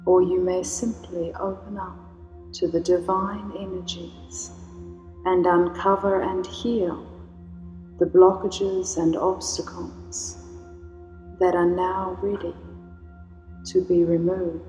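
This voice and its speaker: Slow, even-voiced woman guiding a meditation. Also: lowish voice. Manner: slow, soothing, invocational delivery. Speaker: meditation guide. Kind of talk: guided meditation. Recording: roomy reverb with soft music.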